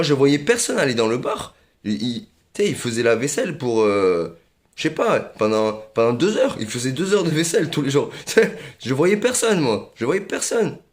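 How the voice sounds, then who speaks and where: A man rambling in a casual monologue, repeating himself often, with many fillers and little chuckles. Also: a little high.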